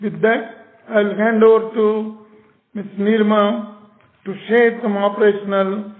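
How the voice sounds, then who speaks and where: A man's voice: high voice, little pitch movement, unhurried. A man with an Indian accent giving formal prepared remarks as a managing director on an earnings call. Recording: narrow tinny phone-line audio.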